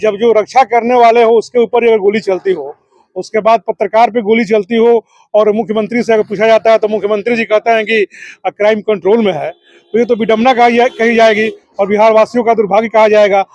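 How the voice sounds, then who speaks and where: A man's voice: high voice, little pitch movement, brisk, a little mellow, no echo, highs cut off. A man answering as a political activist, oratorical, building his points in repeated phrases and lists. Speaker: political activist, district committee member.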